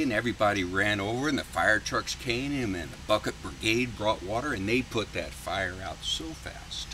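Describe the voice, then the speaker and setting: A man telling an informal, satirical fairy tale in a halting, folksy, conversational way. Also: pushed and bright. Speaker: storyteller. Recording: noisy, distant mic with background rumble.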